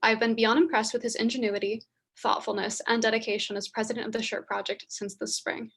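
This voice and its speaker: A young woman with a soft voice, a student treasurer reading a formal nomination letter aloud. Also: a little high, level tone, fairly quick, vocal fry, slightly rough. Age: young adult.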